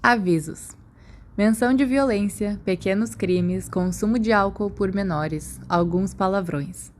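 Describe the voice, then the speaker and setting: A woman with a Brazilian accent narrates fiction in audiobook style. Her voice sits at a medium pitch for a woman, and she reads from a script. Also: a little slow, slight background noise. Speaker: podfic narrator.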